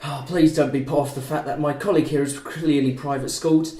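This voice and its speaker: Guy reading friendly exasperated student lad, highish voice, echoey room. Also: fairly even intonation, a little fast, warm tone, lightly acted. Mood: sociable, exasperated. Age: young adult. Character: sociable, exasperated male student party host. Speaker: student cast member at a table read. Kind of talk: script read-through. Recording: roomy, echoing.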